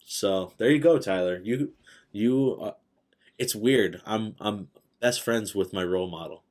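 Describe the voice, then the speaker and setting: A man's voice: on the low side, a little slow, darkish tone. A man of thirty talking in casual, slangy conversation as a podcast co-host.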